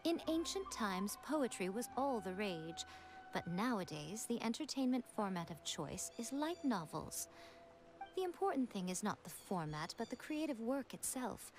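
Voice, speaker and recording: Casting: teasing regal fox priestess, lively pitch, soft music under. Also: female voice. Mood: persuasive. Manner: smooth, teasing, unhurried and regal. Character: sly, elegant fox-spirit priestess, teasing. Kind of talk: game cutscene voice acting. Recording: game audio with soft music behind.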